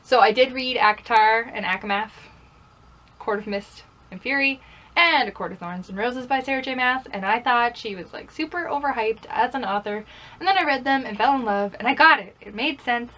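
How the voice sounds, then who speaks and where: A woman's voice: fairly high pitch, normal speed, pushed and bright. A young woman chatting casually in a vlog style, playfully self-deprecating and often putting questions to herself. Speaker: book vlogger. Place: bedroom.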